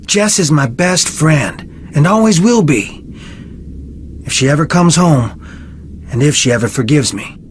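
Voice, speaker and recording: Guarded, conflicted young fiancé. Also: male voice, on the high side, lively intonation, a little slow, long pauses, a little hiss or hum. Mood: wistful. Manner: guarded, earnest, wistful. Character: conflicted, defensive young fiancé of a missing woman. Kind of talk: video game voice acting.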